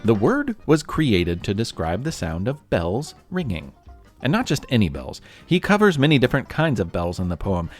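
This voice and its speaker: Expressive American man hosting a podcast. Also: lowish voice. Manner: storyteller delivery addressed to young listeners. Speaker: podcast host. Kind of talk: scripted narration for a history podcast.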